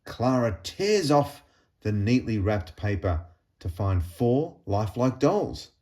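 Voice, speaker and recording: A man reading a children's storybook aloud in an expressive, deliberate storytelling manner with pauses. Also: medium pitch. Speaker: storybook reader.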